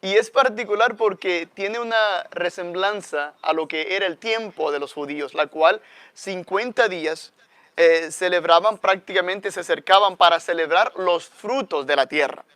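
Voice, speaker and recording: A man's voice: high voice, normal speed, a bit bright, no room sound. A middle-aged man with a Salvadoran accent, a guest friar speaking in an earnest, explanatory way with many fillers. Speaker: guest friar, parish priest. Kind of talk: conversational religious talk.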